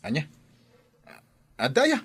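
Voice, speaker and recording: A man playing an excitable, curious drinking buddy in an audio drama. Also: a little high, measured pace, slightly rough, heavily acted. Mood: surprised, curious. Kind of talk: Philippine radio-style audio drama acting.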